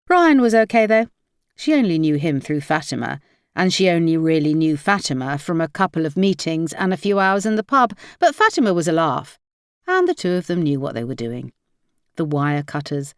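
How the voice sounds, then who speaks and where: A woman's voice: lowish voice, clean tone, no echo, studio quality. A woman reading a crime novel aloud as scripted audiobook narration.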